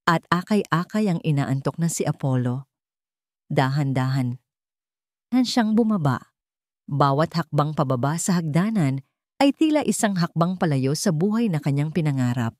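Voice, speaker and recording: Low-voiced woman narrating a dramatic story. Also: a little mellow, dry recording, clean studio sound.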